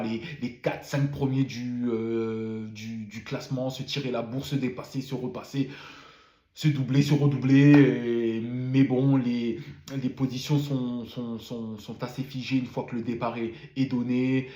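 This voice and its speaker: A man's voice: medium pitch, level tone, unhurried, dark tone, reverberant. A man who has a cold gives a casual spoken debrief of a motor race, full of fillers and repeated words. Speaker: F1 commentator.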